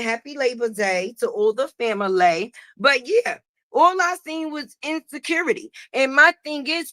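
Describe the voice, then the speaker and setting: Loud sassy high-voiced woman streamer. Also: dry recording. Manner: sassy, loud, greets viewers by name. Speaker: live stream host. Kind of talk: casual gossip commentary.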